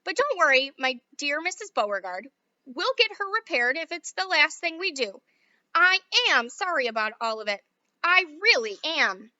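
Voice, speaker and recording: An eccentric male inventor speaks mildly with sighs, in a bright, lively voice, as a woman reader puts him on. The recording is dry and a little muffled. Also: high-pitched, normal speed, no echo, voice pushed up, full character voice. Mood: brisk. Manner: sighing, mild and matter-of-fact.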